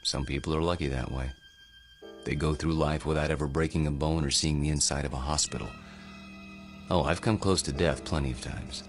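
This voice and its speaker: An actor playing a world-weary, grave and rueful immortal man in a radio drama, speaking in a measured, philosophical way. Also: rumbling low, fairly even intonation. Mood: resigned. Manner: measured, philosophical, deliberate delivery. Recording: radio drama with music underneath.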